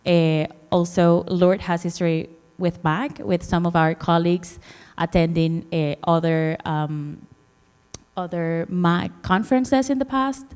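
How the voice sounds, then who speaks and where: A woman's voice: fairly low pitch, steady tone, measured pace, a little mellow, clear, resonant tone, in a large hall. A woman from the Dominican Republic giving a conference presentation, hesitant, with many fillers and restarts. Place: conference hall.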